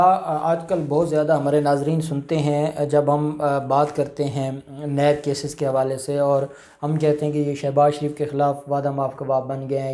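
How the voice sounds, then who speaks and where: A man's voice: a little high, mellow. A Pakistani man speaking as a lawyer, explaining legal points informally, repeating key phrases and mixing in English terms. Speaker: lawyer, guest expert. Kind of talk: informal legal explanation in question-and-answer show.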